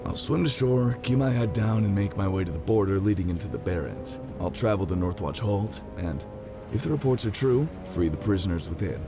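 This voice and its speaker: A man's voice: sits low, lively intonation, brisk. A man playing a grim, driven, reckless veteran soldier, terse and determined, in a fantasy audio drama. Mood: grim resolve. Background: music under the voice.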